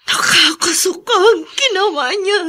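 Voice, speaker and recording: An actress with a Filipino accent playing a wronged, tearful young married daughter in a radio drama. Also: female voice, very high-pitched, animated, measured pace, pushed and bright, heavily acted. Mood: confused, hurt.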